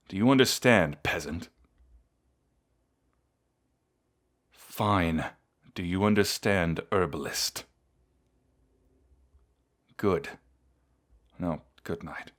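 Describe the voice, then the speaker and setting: A man's voice: deep voice, exaggerated voice. A man playing a proud, bratty wounded knight with a haughty, grumbling manner in a fantasy audio roleplay. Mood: angry, stern. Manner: haughty, grumbling, reluctantly vulnerable. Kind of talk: scripted audio roleplay addressed to the listener.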